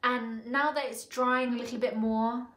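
Woman in her 40s from Thailand, home review vlogger; unhurried, thinking aloud, filler-heavy, roomy living-room sound. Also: a little high, steady tone, a bit bright. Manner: slow, musing, many fillers and restarts. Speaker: perfume reviewer. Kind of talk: casual spoken review. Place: living room sofa, indoors. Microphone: camera in front at close range. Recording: echoey room.

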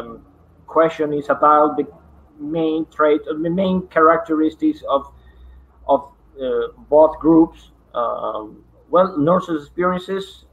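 A middle-aged man from Argentina with a Spanish accent, presenting over a video call in hesitant English full of fillers. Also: on the high side. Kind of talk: online conference presentation. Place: home study with bookshelves. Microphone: webcam video call. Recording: dull lo-fi video call audio.

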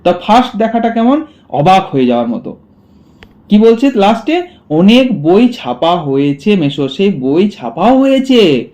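A man's voice: sits high, very animated, unhurried, a little mellow. A man teaching a literature lesson, explaining a story to students by repeating lines and asking questions he then answers. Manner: asks rhetorical questions and answers them.

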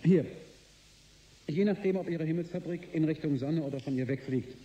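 A roomy recording carries an actor playing a shrewd male executive with a very dark, soft voice. He negotiates in a suave, condescending way, weighing his words like a man who holds the stronger hand. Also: a little high, moderate pace, close to an everyday voice. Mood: calculating. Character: shrewd, smug male energy corporation boss negotiating hard. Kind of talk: German radio drama acting. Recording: roomy sound with faint noise.

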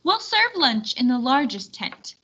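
A woman's voice: high voice, big pitch swings, unhurried, pushed and bright, husky, muffled recording, heavily acted. A woman voicing a practical, cheerful medieval lady in a children's storybook.

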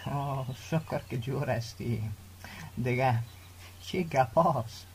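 A man's voice: medium pitch, a little mellow, a little hiss or hum, close to an everyday voice. An actor playing a courteous middle-aged village father in a radio drama, with an Afghan Dari accent. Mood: cordial. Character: courteous village father seeking a bride for son.

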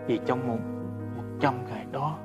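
A gentle, earnest husband of an old-time household acted by a man, with a mid-pitched voice. He speaks solemnly and formally, as in a period folk-tale film, with very loud music behind him. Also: normal speed, dull audio, close to an everyday voice. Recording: loud music over the dialogue.

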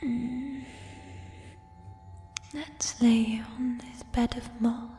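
Whispering giant troll girl, played by a woman, shy and nurturing, very slow and mellow, for fantasy ASMR roleplay in deep reverb. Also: steady tone, slight background noise. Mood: tender, concerned. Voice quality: soft, breathy whisper. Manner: slow, formal old-fashioned phrasing, tender. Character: gentle, shy giant female mushroom troll, tender and nurturing. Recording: long reverb, music behind. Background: fantasy ambience music.

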